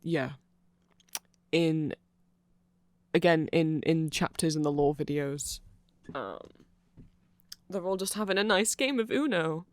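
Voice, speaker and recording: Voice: mid-range voice, takes it slowly, pauses often, dry recording. A person giving a chatty, rambling ASMR-style fan explainer in a playful, informal way. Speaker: ASMR creator explaining band lore.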